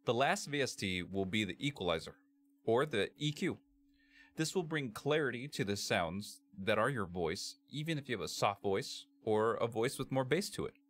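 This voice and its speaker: A man with a bass-heavy voice narrating a software tutorial in a measured, step-by-step way as its presenter. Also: lively intonation. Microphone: computer microphone, screen-capture voice-over.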